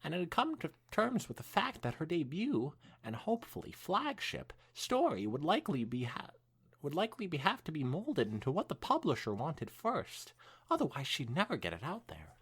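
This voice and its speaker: A man's voice: fairly high pitch, wildly swooping pitch, moderate pace, a bit bright, slightly rough. A man co-hosting a podcast, reading fan fiction aloud expressively with some stumbles and dropping into casual chat. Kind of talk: dramatic read-aloud mixed with casual chat.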